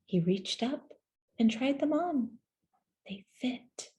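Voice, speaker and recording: A woman reading a children's story aloud in a gentle, measured way, with deliberate pauses between phrases. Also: high voice, expressive. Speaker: storybook reader. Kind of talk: scripted children's story narration, read aloud.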